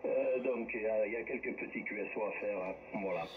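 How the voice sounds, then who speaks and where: Man, low voice, casual ham radio operator, clearly noisy radio transmission. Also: brisk, phone-quality sound. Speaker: amateur radio operator passing turns in a group chat. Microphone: radio transceiver microphone.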